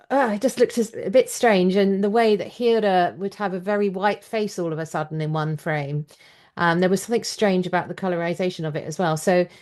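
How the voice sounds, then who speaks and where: A woman's voice: fairly low pitch, darkish tone. Woman, British accent, interview host, chatty, enthusiastic, opinionated, conversational.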